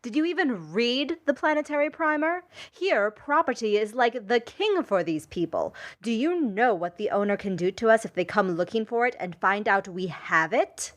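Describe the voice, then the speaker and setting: A woman's voice: on the high side, lively intonation, moderate pace, few pauses, lightly acted. A woman playing a stern, exasperated Imperial commander. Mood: scolding. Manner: scolding, exasperated, clipped orders. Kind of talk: tabletop game role-play.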